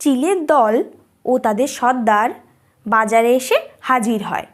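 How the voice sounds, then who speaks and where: A woman narrates a children's tale in a high voice. She takes it slowly, reading as a scripted storyteller for a cartoon.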